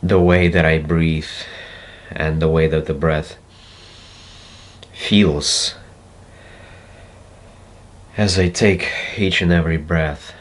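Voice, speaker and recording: A man with a Russian accent, a native Russian speaker, talking slowly and reflectively to camera and pausing to search for words. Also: very deep voice, fairly even intonation. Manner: slow, deliberate, searching for words with pauses. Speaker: self-development video host. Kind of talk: slow reflective monologue to camera.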